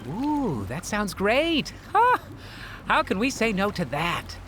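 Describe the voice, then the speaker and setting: A man's voice: high-pitched, huge pitch swings, slow, noisy background, heavily acted. A man playing a playful, easygoing helper in a game cutscene, breezy and teasing. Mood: playful, cheeky. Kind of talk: video game cutscene acting. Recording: music behind the voice.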